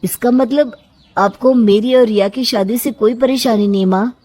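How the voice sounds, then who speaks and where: A woman's voice: a little high, normal speed, warm tone, slightly rough, pitched above the performer's own voice. A woman voicing a devoted, needy young man in a storybook tale, speaking in an earnest, plaintive way. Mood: surprised, hopeful. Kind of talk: acted storybook dialogue.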